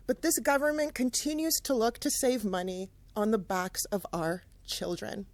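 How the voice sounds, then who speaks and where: A woman's voice: medium pitch, dry recording. A woman advocacy spokesperson making a firm, indignant statement at a press conference.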